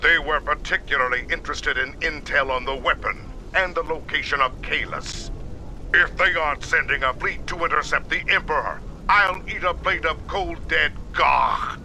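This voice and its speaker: An actor playing a stern, commanding Klingon chancellor in a video game, grave and dramatic, with faint noise behind. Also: male voice, a little high, moderate pace, pushed and bright, low-quality recording.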